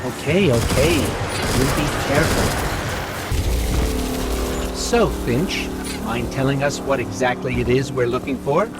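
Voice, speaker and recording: An actor playing a loyal, protective and suspicious robot companion in a video game, with an earnest, pointed delivery. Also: male voice, mid-range voice, measured pace, lightly acted. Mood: wary. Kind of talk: video game cutscene acting. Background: loud background music.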